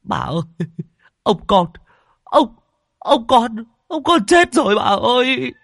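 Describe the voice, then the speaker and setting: A terrified young servant, as a man puts him on, stammering out his words in a high, shaky voice that swings up and down. The recording is dry and close. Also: very animated, takes it slowly, creaky, fairly bright tone, no room sound, heavily acted. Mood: panicked. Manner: panicked, stammering. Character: frightened young male servant.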